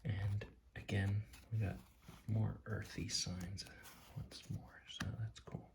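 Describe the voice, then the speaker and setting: A soft-spoken ASMR host giving a casual, hushed, unscripted commentary while flipping through a card deck. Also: low voice, little pitch movement, slow, creaky, background noise. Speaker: tarot deck reviewer, video host.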